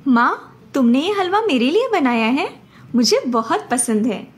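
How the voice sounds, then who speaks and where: A touchy cartoon younger sister. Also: female voice, high-pitched, sing-song, a little slow, husky, soft background music, full character voice. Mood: sweet. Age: young adult. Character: younger sister, first-year college student, touchy and proud.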